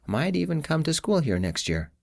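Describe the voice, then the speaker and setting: A man's voice: on the high side, sing-song, moderate pace, vocal fry, darkish tone, pitched above the performer's own voice, full character voice. A man voicing an outgoing young college student who talks in a playful, upbeat way.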